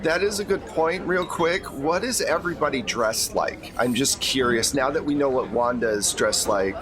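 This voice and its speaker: A man's voice: medium pitch, expressive, normal speed, a crowd in the background. Man, game master, informal conversational narration, tabletop session, background voices. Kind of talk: casual improvised narration of a tabletop role-playing game.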